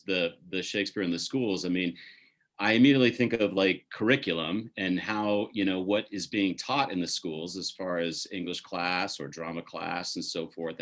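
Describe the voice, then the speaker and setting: A man with a low-pitched voice hosts an online panel discussion. He speaks in loose, rambling sentences, circling toward his point as he moderates. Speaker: host and artistic director.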